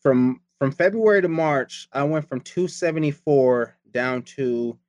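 A man in easy, casual conversation, his voice sitting at an ordinary middle pitch and recorded dry and close with no room sound. He rambles, repeats phrases and swears casually as he talks. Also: a little slow, a little mellow, no room sound. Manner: rambling, profane, repeats himself. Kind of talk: casual chat.

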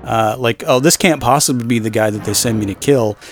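A man's voice: mid-range voice, a little fast. A man co-hosting a film podcast in a casual, rambling, exasperated way, swearing freely. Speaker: podcast host.

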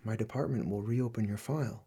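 A man acting in a radio play as a stern, blunt foreign affairs official with a husky, demanding delivery. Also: lowish voice, brisk, warm tone. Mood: stern, pressing. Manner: harsh, demanding, interrogating.

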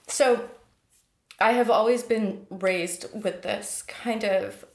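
A woman's voice: medium pitch, reverberant. A woman in her thirties, a poet, talking thoughtfully and hesitantly about her life, often restarting her sentences. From United States. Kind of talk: reflective personal interview.

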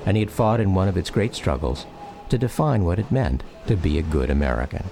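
A man narrating a personal radio drama in a reflective, measured storytelling style. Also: low voice, expressive, vocal fry, warm tone. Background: music.

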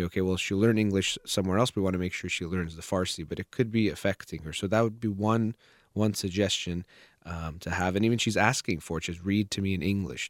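A low-pitched man in a counseling session talks a parent through their child's shyness. His delivery is calm and measured, with frequent fillers and restarts. The sound is dry and close, with no room echo. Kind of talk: consultation with a parent.

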